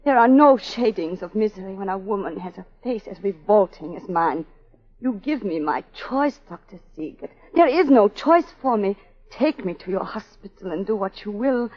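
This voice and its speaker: A woman's voice: medium pitch, animated, moderate pace, natural voice. Casting: actress as hard-bitten, scarred young woman, clipped and theatrical, vintage radio melodrama, dull lo-fi sound. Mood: bitter, impassioned. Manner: clipped, defiant, theatrical delivery. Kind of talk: 1940s radio melodrama acting. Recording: muffled old 1940s radio recording.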